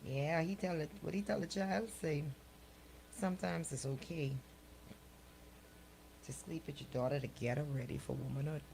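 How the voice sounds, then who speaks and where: A low-voiced woman gives casual radio commentary. She is blunt and exclamatory, with colloquial phrasing and a gravelly creak. Faint noise sits behind her. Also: fairly even intonation, moderate pace, long pauses, a little mellow, low-quality recording. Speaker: radio show host. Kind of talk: casual studio banter and news commentary.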